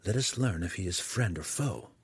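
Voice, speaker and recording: A man voicing a calm, courteous dark elf warrior in a fantasy audiobook, measured and cautious. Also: sits low, breathy edge, no echo, heavily acted. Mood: cautious, hushed. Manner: measured, cautious, often hushed.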